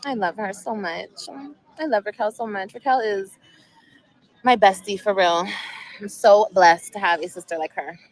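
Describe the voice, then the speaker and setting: A woman's voice: mid-range voice, moderate pace, poor audio. A woman in her thirties chatting casually on a livestream outdoors at sunset, emotional and rambling, repeating herself often. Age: 30s. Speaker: vlogger.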